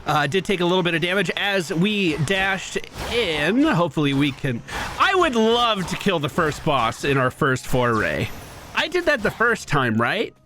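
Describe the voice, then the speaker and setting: A man's voice: high-pitched, big pitch swings, moderate pace, noisy background. A man hosting a gaming channel, giving upbeat, playful live commentary as he plays. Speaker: gaming host.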